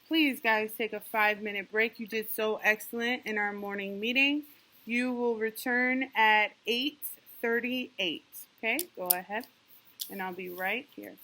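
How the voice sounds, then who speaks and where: Bright-voiced teacher woman coaching young kids. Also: fairly high pitch, low-quality recording. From New York. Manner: patient, encouraging, talks slowly to kids with many "okay?" checks. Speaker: elementary school teacher. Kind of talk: online class for young children.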